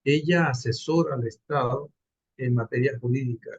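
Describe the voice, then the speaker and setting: A man from Venezuela presenting a project in an online class, earnest and halting, with fillers. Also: medium pitch, breathy edge, no room sound. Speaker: course participant presenting a project. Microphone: video call. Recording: dull dry video call audio.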